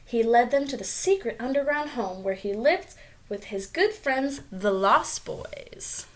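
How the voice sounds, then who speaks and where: A woman's voice: a little high, expressive, a little slow. A woman reading a storybook aloud to young children, expressively, with little asides about the pictures. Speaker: storybook reader.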